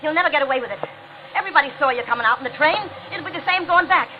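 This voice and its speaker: Actress for scornful unfaithful wife, American, 1940s radio melodrama, high voice, on a dull, noisy old broadcast. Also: female voice, racing, lightly acted. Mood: alarmed. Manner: sneering, cutting. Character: bitter, taunting unfaithful wife turning terrified. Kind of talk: 1940s radio drama acting.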